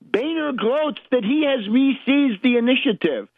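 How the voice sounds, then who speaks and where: A man hosting a political radio show, giving opinionated commentary in a halting, emphatic manner with sardonic asides. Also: extremely high pitch, lively intonation, no room sound. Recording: dull, lo-fi radio recording.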